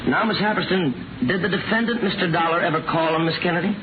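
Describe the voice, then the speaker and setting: An actor in a 1950s radio drama playing a relentless prosecuting attorney with formal, sardonic questioning. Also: male voice, sits high, fast talker, lightly acted. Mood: pressing, stern. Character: stern male prosecuting attorney, pointed, relentless. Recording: noisy, narrow-band 1950 radio recording.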